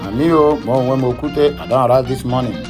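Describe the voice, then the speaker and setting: A man's voice: medium pitch, fairly quick, over music. A middle-aged Nigerian man with an Igbo accent hosting an informal morning news show, reading headlines with many "uh" fillers and Pidgin asides. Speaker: online news host.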